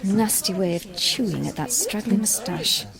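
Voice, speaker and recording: A woman playing a brisk, confident, commanding farmer's wife in a radio drama. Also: mid-range voice, lively intonation, gravelly, crowd noise. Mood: private disdain.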